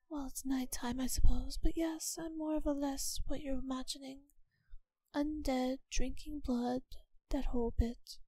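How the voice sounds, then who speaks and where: A woman acts out a wealthy vampire mistress, kind yet possessive, in an intimate ASMR roleplay. Her voice is high and soft, mellow and breathy, often dropping near a whisper. She speaks with genteel, unhurried reassurance, very close and dry. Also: no echo, close to an everyday voice.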